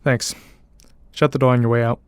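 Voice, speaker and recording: A man's voice: mid-range voice, flat delivery, normal speed, heavy background noise, lightly acted. A man playing a skeptical, curt newspaper reporter who mutters and swears in an audio drama. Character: male New York newspaper reporter, skeptical, curt, profane.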